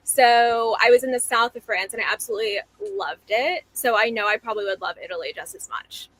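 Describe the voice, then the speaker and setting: A woman's voice: a little high, a little fast, fairly bright tone, dry recording, low-quality recording. A woman in her twenties from New Jersey, chatting and laughing easily on a speed date. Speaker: dating show contestant. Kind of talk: casual speed-date chat.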